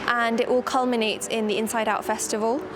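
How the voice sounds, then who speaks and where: Reflective Leicester poet over background noise. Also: female voice, a little high, little pitch movement, fairly quick. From Leicester, England. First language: Gujarati. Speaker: poet and performer. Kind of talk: documentary interview. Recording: noisy background hum.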